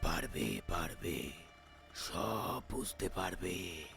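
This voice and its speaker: A man voicing the solemn, protective ghost of a young man in a horror cartoon, speaking in an ominous, deliberate way. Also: sits low, very animated, creaky, over music, full character voice. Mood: urgent, eerie. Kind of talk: Bengali horror cartoon voice acting.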